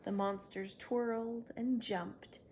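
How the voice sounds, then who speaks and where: A woman's voice: fairly high pitch, fairly even intonation, takes it slowly, darkish tone, slight background noise. A woman reading a children's story aloud, narrating expressively and without hurry. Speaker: storybook reader.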